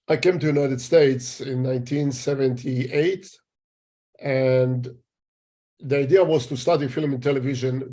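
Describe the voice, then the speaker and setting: Older israeli man as interview guest, warm slightly high voice, halting speech. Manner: halting, searching for words in English. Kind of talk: interview conversation.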